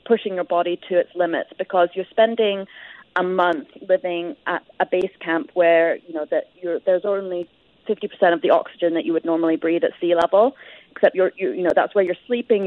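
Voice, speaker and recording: A woman's voice: on the low side, no room sound, phone-quality sound. A woman giving detailed, reflective answers as a guest author in a conversational interview.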